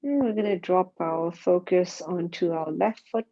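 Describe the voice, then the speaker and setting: A woman guides a body scan meditation, speaking calmly and gently in short, spaced phrases. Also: lowish voice, normal speed. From Singapore. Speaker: mindfulness meditation teacher. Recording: dull, muffled lo-fi sound.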